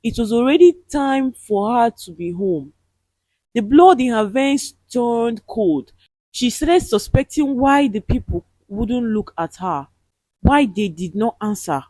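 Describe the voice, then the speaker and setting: A woman storyteller with a fairly high voice that moves with great expression. She delivers a dramatic scripted tale with suspense and emotion, in a very dry recording. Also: lively intonation, unhurried, dark tone, no echo, poor audio.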